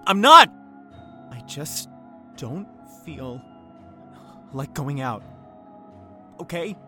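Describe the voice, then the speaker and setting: A man's voice: a little high, very animated, very slow, music in the background, heavily acted. An actor playing an earnest, insecure young man in an audio drama, speaking hesitantly and wearing his heart on his sleeve. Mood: angry, defensive, then hurt, subdued. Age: young adult.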